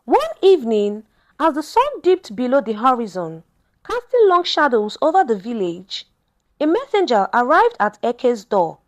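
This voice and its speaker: High-pitched, animated woman telling a folktale. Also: unhurried. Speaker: storyteller. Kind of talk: scripted folktale narration.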